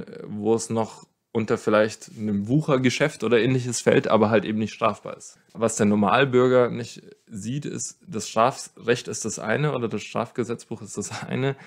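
A man's voice: on the low side, gravelly. A man speaking as a legal expert, explaining things step by step in an interview and often rephrasing.

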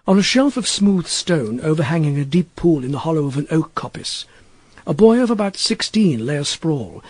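A man reading literary fiction as an audiobook narrator, in a wry, expressive storytelling manner. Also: a little high, husky, no echo. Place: dry studio.

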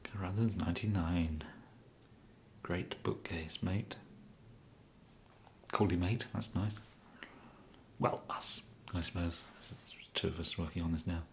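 A young man with an English accent in a soft-spoken ASMR comedy roleplay, hesitant and mumbling, as a bumbling, polite amateur kidnapper. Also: low voice, steady tone, unhurried, pauses often, slight background noise. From England. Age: young adult. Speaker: ASMR performer.

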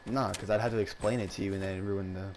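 A young man chatting excitedly with friends while playing a shooter game, swearing and bantering. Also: sits low, fast, background noise. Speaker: gamer. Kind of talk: casual gaming chat with friends.

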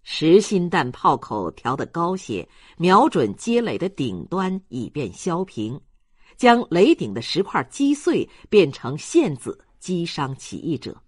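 Female audiobook narrator, lower register, close dry sound, scripted storytelling. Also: big pitch swings, unhurried, husky, no echo.

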